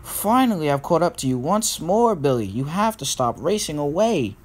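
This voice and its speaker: A man's voice: a little high, big pitch swings, normal speed, slight background noise. A man voicing a cheery, patient young tank engine in a children's story, pleading and scolding. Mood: fed up.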